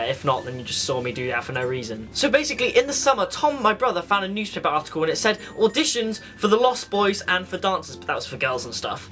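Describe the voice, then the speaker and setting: A man's voice: high-pitched, sharp tone. A teenage young man, chatting casually and enthusiastically as he tells anecdotes for a vlog. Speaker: vlogger.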